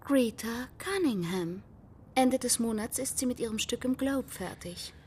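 An actress playing a composed, dry-witted assistant to a playwright in a German radio play. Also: female voice, fairly high pitch, measured pace, a little mellow, breathy edge. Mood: dry, placating.

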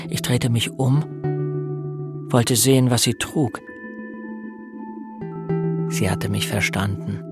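A man playing a somber narrator who looks back on his boyhood in a radio drama, measured and grave. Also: medium pitch. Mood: somber, hushed. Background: music.

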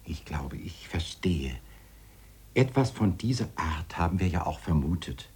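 Husky, low-voiced courteous professor. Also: male voice, animated, a little mellow, heavily acted. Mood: earnest, measured. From Denmark. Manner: formal, deliberate, measured. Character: courteous, diplomatic male science professor preparing a TV debate. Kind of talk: 1970s radio drama acting.

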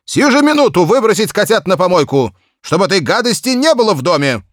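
A man's voice: high-pitched, big pitch swings, fast talker, dry recording, studio quality, voice pushed up. A man voicing an angry, stern father in a children's audiobook, barking indignant commands as he scolds. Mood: furious. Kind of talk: audiobook character acting.

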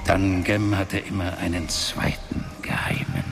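Solemn old wizard father, fantasy radio drama, gravelly half-whisper, slow measured delivery, music behind. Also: sits low, sing-song, heavily acted. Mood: solemn, urging. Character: ancient solemn father, powerful wizard of a magical race. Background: background music.

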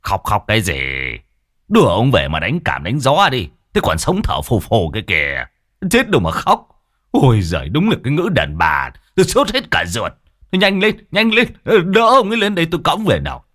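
A man's voice: mid-range voice, wildly swooping pitch, normal speed, a bit bright, pitched above the performer's own voice. A man voicing a blunt, impatient middle-aged villager. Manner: brusque, bossy.

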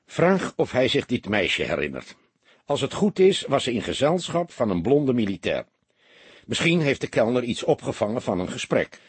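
A man voicing an impatient, commanding police detective in a storybook, firing off brisk orders and probing questions. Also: medium pitch, big pitch swings, husky, no room sound. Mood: businesslike, instructing. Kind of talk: audiobook character dialogue.

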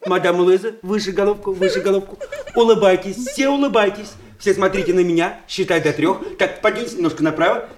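A man's voice: high voice, fairly quick, slight background noise, lightly acted. An actor playing an obliging, fussy photographer in a radio play, polite and bustling as he directs people. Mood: bustling, directing. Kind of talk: Russian stage-play radio acting. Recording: busy crowd murmur behind.

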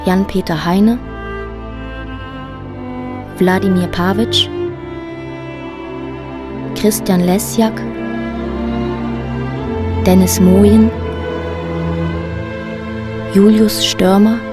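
Slow, measured woman announcer over music. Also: mid-range voice, fairly even intonation. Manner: measured, plain listing. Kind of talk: scripted radio drama credits reading. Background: sad violin music.

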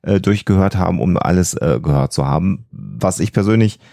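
Podcast co-host: man, deep and mellow, conversational explainer. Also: lively intonation, a little fast, creaky, no room sound, studio quality.

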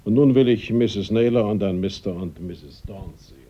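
An actor playing a stern, by-the-book male police inspector in a German radio drama, curt and authoritative, with a gravelly, husky voice. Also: fairly low pitch, normal speed, mellow. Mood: composed, commanding. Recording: dull older radio recording.

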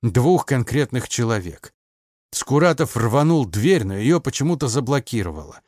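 A man's voice: mid-range voice, sing-song, moderate pace, a little mellow, no room sound. A man narrating an audiobook in a scripted, dramatic storytelling style.

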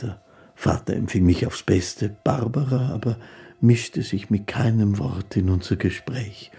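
An actor playing a meek, dreamy poor musician, telling his life haltingly and earnestly in a radio play. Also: lowish voice, vocal fry, dark tone, slightly rough, full character voice. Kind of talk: first-person radio play narration.

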